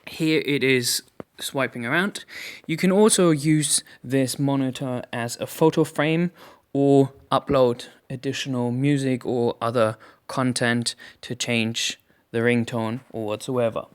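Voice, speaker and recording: A man with a medium-pitched voice presents a product review. He narrates an unboxing in a measured, explanatory manner. His delivery moves along phrase by phrase. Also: fairly even intonation, clean tone. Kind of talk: unboxing review narration over hand-held footage.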